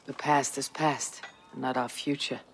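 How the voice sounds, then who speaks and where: Steady, seasoned Viking raider. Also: male voice, medium pitch, unhurried, creaky, faint music behind. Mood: calm. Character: seasoned male Viking raider, steady, pragmatic war counsellor. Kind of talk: video game cutscene acting.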